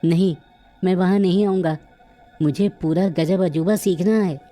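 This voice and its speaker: A man's voice: high voice, steady tone, unhurried, warm tone, faint music behind. A man playing a minister's determined young son, earnest and emphatic, in a cartoon fairy tale.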